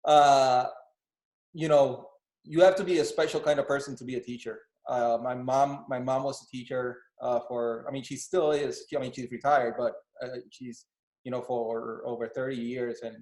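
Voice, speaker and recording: A man's voice: medium pitch, a little mellow. Podcast host guy, stumbles and restarts a lot, friendly, echoey room. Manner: halting, lots of restarts and laughs, friendly. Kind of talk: casual conversation.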